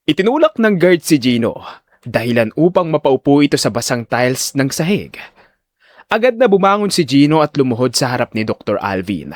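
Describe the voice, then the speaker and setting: Male narrator, dramatic scripted storytelling, lively varied intonation, medium pitch, dry close recording. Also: no room sound.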